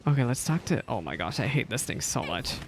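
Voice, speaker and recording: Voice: mid-range voice, big pitch swings, brisk, a little hiss or hum. A game streamer giving casual live reaction commentary in a chatty, exclamatory way.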